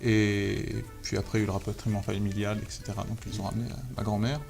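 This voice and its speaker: A man in his thirties from Algeria, a photographer guest telling his story in a relaxed interview, hesitant with many fillers and restarts. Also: fairly low pitch, fast, gravelly, over music. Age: 30s. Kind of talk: casual interview talk.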